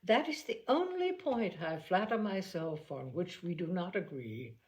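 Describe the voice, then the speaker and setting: A woman voicing a dry, sardonic middle-aged father with a cool, measured, ironic manner, reading a period novel. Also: on the low side, very animated, darkish tone.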